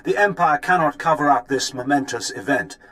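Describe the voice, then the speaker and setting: A fervent rebel leader in a video game. His voice is slightly high for a man. He declaims with defiance, as if addressing a whole nation by broadcast. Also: animated, a little fast, bright, forceful tone. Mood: fervent, defiant. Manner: oratorical, defiant. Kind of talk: propaganda speech, video game acting.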